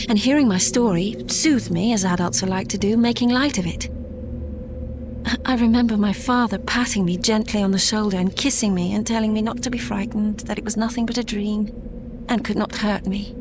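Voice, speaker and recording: Earnest young gentlewoman, noisy backdrop, played by an actress. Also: mid-range voice, lightly acted. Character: sheltered, impressionable young gentlewoman, devoted daughter and narrator. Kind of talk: gothic period audio drama, narration and dialogue. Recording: clearly audible background noise and ambience.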